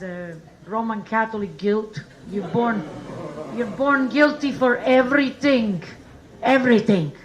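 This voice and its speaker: A woman's voice: medium pitch, poor audio. A woman from Italy doing conversational stand-up comedy as the host of a show.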